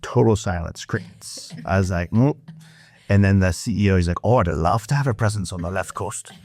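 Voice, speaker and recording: A man's voice: deep voice, fairly quick, darkish tone, dry recording. A man in his fifties from Massachusetts with a Massachusetts accent, telling stories as a podcast guest with restarts and playful teasing. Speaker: podcast guest, property management owner. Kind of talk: casual interview chat.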